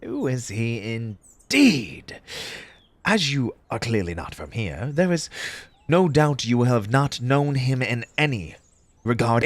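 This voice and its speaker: A man playing an eccentric, fussy tea-party host in an audio roleplay, pompous and formal in old-fashioned diction, with a breathy, husky voice and an undertone of grief. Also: medium pitch, fairly bright tone, dry recording, heavily acted. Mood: reverent, wistful. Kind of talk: theatrical character audio roleplay.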